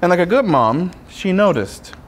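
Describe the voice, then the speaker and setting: A man, a Spanish speaker, preaching a sermon as a friar with personal storytelling, in deliberate phrases broken by pauses. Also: high voice, sing-song, a little hiss or hum. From Puerto Rico. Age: 30s.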